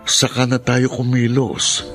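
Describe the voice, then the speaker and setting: A man's voice: medium pitch, completely flat delivery, unhurried, music in the background, lightly acted. A man with a Filipino accent playing an earnest, hesitant middle-aged uncle in a radio drama. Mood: calming, measured.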